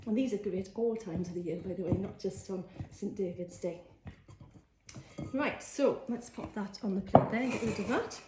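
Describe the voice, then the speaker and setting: A woman's voice: mid-range voice, moderate pace, noisy background. A woman with a Welsh accent giving a homely, chatty cooking demonstration, explaining each step as she works in her kitchen. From Wales. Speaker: cookery teacher. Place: kitchen, indoors. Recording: echoey kitchen with faint clatter.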